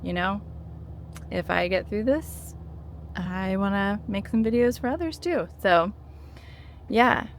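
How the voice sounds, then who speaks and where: A woman's voice: mid-range voice, slow pace, long pauses, a little hiss or hum. A woman in her thirties talking casually to camera, earnest and encouraging, with many fillers and restarts. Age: 30s. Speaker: vlogger sharing her withdrawal experience.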